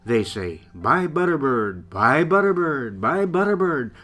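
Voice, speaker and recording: Bright, higher-voiced cheerful dad, acted by a man. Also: very animated, unhurried, faint music behind. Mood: jovial. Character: cheerful father of young children. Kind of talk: radio play acting.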